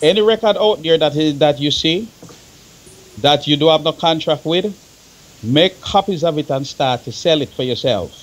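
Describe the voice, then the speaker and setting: Jamaican guy, voice a bit high, chatty and emphatic, repeats himself to drive it home. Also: lively intonation, moderate pace. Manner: emphatic, repeats phrases for stress. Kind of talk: animated casual panel talk.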